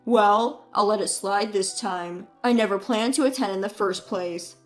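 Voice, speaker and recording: A woman voicing a rude, mocking adult brother who talks loudly and scornfully, with faint music behind. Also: mid-range voice, steady tone, unhurried, close to an everyday voice. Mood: angry. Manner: sneering, taunting.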